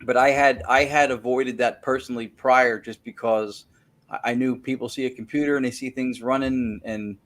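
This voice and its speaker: A man's voice: mid-range voice, steady tone, moderate pace, fairly bright tone. Man telling a long technical story. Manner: self-correcting, repeats words while thinking. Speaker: guest, spirit-communication researcher. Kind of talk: casual interview, explaining a method.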